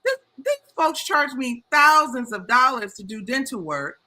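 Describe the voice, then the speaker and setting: A woman's voice: sits high, animated, unhurried, sharp tone. A woman talking passionately in a casual discussion, getting heated as she makes her points. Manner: passionate, impassioned.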